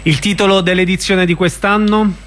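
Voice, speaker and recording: A man with a fairly high voice works as a radio interviewer, speaking casually to his guest. Some faint noise sits in the recording. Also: steady tone, moderate pace, fairly bright tone.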